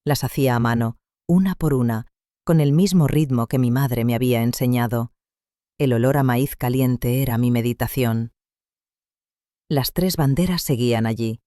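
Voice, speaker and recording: Mellow man narrating a calm story. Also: mid-range voice, moderate pace, no room sound, clean studio sound. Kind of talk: scripted first-person story narration.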